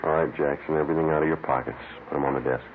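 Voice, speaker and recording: A very deep-voiced American detective talks in terse, deadpan, matter-of-fact phrases. It is 1950s radio crime drama, on a thin, noisy old recording. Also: male voice, racing, narrow, tinny audio. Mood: businesslike. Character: terse, businesslike male police detective sergeant.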